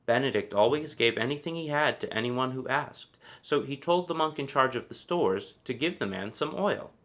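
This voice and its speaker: A man narrating a children's story from a book, with expressive, measured phrasing and deliberate emphasis. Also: medium pitch. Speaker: storybook reader.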